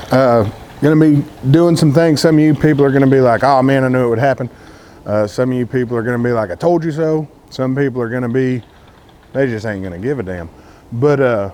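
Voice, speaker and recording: A man's voice: medium pitch, darkish tone. A man from Texas with a Texan accent, talking casually to camera as a car vlogger, candid and rambling with lots of "uh" fillers.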